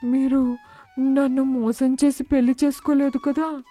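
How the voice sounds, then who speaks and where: A woman's voice: high voice, level tone, a little slow, warm tone, soft background music. A woman voicing a naive, anxious young bride in a cartoon moral story, wide-eyed and questioning. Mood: frightened. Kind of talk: animated moral story dialogue.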